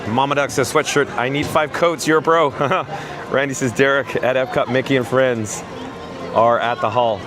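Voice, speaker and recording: A hoarse man live-streaming as he walks outdoors through a theme park, chatting and reading out messages from his chat. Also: mid-range voice, steady tone, normal speed, a crowd in the background. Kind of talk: live-stream walking commentary.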